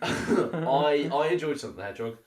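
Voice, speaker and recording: A man's voice: fairly high pitch, animated, moderate pace, slight background noise. A British man in his twenties, a tipsy podcast host chatting casually and rambling informally with friends. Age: 20s. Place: small makeshift recording room late at night. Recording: roomy, echoey home recording.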